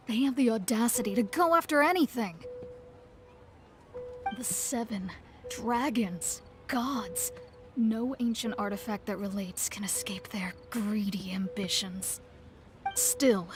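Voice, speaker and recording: A woman's voice: a little high, natural voice. An actress playing a composed young woman speaking formally in a video game. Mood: indignant. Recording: game audio with music.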